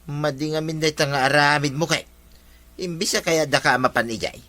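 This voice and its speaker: A man's voice: on the high side, steady tone, moderate pace, sharp tone, no room sound. A man playing a nagging, reproachful village man in a radio drama.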